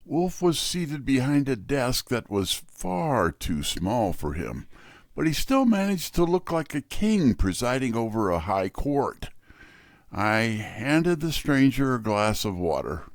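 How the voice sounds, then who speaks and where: Man, hardboiled scripted narration, wry, unhurried, very dry studio-like sound. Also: mid-range voice, sing-song, no room sound. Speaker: first-person detective story narrator.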